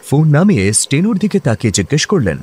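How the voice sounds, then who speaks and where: A man's voice: a little high, very animated, fast, a little mellow, slight background noise. A man narrating a scripted comedy radio play as a dramatic storyteller.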